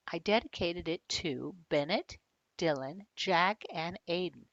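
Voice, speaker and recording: An older woman reading a picture book aloud to children, talking to them directly with questions and expressive storytelling. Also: fairly low pitch, no room sound, muffled recording. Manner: addresses young listeners directly with questions.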